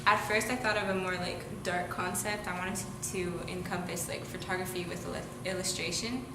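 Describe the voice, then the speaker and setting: A young woman media student answering interview questions thoughtfully, pausing to find her words. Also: on the low side, steady tone. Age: young adult. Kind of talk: documentary interview. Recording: echoey room with loud ambient noise.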